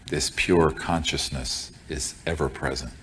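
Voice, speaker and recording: A man leading a group in guided meditation, speaking as a teacher in a solemn, deliberate and measured way. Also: very deep voice, fairly even intonation, a bit bright, slight background noise. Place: room with a group present.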